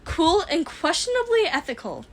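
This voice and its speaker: A woman voicing an excitable, cheeky young inventor girl in a fan fiction audiobook. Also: very high voice, moderate pace, pitched above the performer's own voice, exaggerated voice. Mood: breezy. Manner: exclaiming, dismissive, cheeky.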